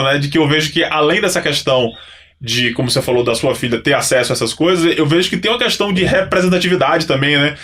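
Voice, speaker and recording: A man's voice: fairly high pitch, fairly bright tone. A man hosting a casual podcast conversation, thinking aloud and restarting his phrases as he sets up questions. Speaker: podcast host.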